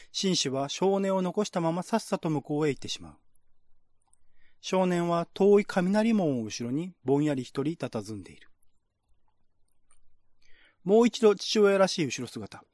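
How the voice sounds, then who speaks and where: A man's voice: fairly high pitch, expressive, a little slow, long pauses, darkish tone, no echo, clean studio sound. A man reading scripted narration in a radio-drama style, as the narrator of a screenplay.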